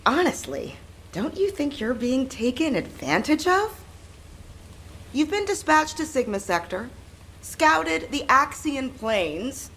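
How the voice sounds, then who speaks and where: An actress playing a forthright, exasperated young female ally in a game, frank and expressive. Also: sits high, takes it slowly, a little hiss or hum, heavily acted. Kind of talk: scripted game dialogue.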